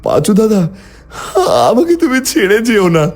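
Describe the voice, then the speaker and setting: Man as theatrical, animated horror-tale villager. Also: unhurried, exaggerated voice. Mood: frightened pleading. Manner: theatrical, rising into high pleading. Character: frightened, hungry village man telling his ghost tale. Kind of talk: dramatic first-person horror story narration.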